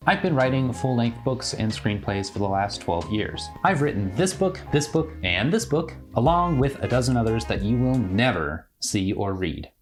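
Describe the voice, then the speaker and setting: A young American man talking to camera in a personal vlog, with a wry, self-deprecating way of speaking. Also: medium pitch. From western United States (California and Oregon). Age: young adult. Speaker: YouTuber and author.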